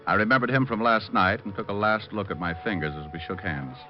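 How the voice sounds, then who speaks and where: Low-voiced wisecracking radio detective, played by a man. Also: fast talker, music in the background, close to an everyday voice. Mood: wry narration. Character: wisecracking, flirtatious, dry male private detective. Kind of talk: hard-boiled radio drama, first-person narration. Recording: dull old radio recording.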